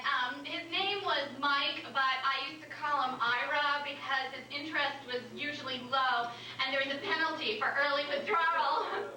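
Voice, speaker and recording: A woman's voice: high-pitched, natural voice. Woman acting flustered insecure female comic, distant echoey room. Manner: halting, flustered delivery with restarts. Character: nervous young woman stand-up comic named Joni, insecure. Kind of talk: staged reading of a comedy script before an audience. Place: live comedy club staged reading. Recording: roomy, distant, noisy live stage recording.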